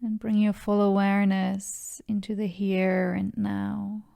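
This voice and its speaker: A woman narrating a guided meditation in a calm, soothing, measured way. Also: medium pitch, creaky, no echo, studio quality. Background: background music.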